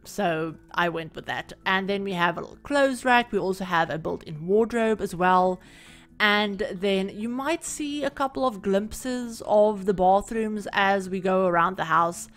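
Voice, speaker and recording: A woman recording a casual, rambling voiceover for a home-building game video, her voice a little croaky from being sick. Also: mid-range voice. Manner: rambling, chatty, many fillers. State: croaky from illness. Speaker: Sims build creator. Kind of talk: casual voiceover over gameplay.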